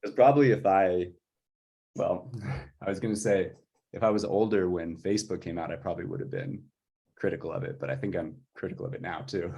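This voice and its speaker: A man in an informal chat about music production, tentatively working through his ideas out loud. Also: deep voice, fairly quick, slightly rough. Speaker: composer for audio drama.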